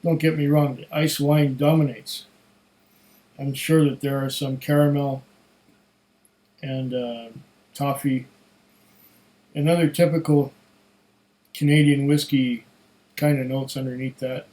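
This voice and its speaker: A man with a slightly hoarse voice giving a casual solo tasting review, musing in an unhurried, savoring way and talking to himself. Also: medium pitch, flat delivery, warm tone, low-quality recording. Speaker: whisky reviewer.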